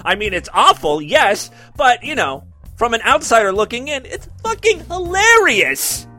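Sarcastic, lively-voiced male show host. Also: very high voice, a little slow, faint music behind. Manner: sarcastic, profane, reads listener emails aloud. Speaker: podcast host. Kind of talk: casual profane commentary.

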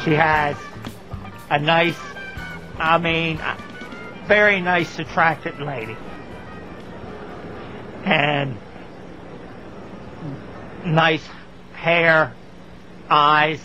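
A man speaking in a video personal ad, halting and hesitant, with long pauses between words. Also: on the high side, flat delivery, music in the background. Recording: dull lo-fi archive video clip.

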